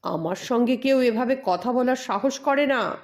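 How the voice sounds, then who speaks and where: A woman's voice: fairly high pitch, unhurried, a little mellow. A woman voicing a menacing, bullying male hired thug in an audio story. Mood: threatening. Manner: bullying, demanding.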